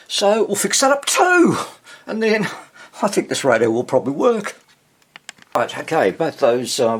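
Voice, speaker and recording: A man giving casual, hands-on repair commentary as he hosts a video, thinking aloud in a husky voice. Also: mid-range voice. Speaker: vintage radio repair hobbyist hosting video.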